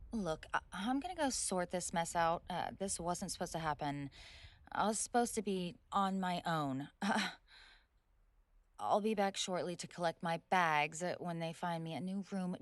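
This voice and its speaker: A woman's voice: fairly low pitch, no echo, clean studio sound. A woman playing a spoiled rich college bully in a roleplay audio drama, indignant, snobbish and sweary, stammering when flustered. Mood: irritated, flustered. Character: haughty, spoiled rich college girl bully, hot-tempered tsundere. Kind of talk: scripted roleplay audio drama, one side of a dialogue.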